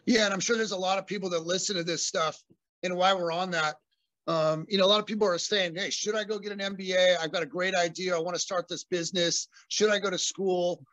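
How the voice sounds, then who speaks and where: A man's voice: sits high, fairly even intonation, fast talker, fairly bright tone, no room sound. A man in his forties from Southern California, a business owner talking through his background in a friendly, rambling way with many fillers. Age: 40s. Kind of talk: casual interview conversation.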